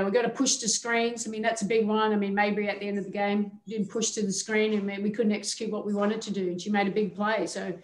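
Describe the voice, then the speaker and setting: A middle-aged woman, a head coach, speaks candidly over a webcam video call from an indoor media room. Her talk is measured and loose, full of small fillers and restarts. Her voice carries a noticeable echo off the room's walls. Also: mid-range voice. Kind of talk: postgame press conference answers. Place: indoor media room before a team backdrop. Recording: echoey room sound.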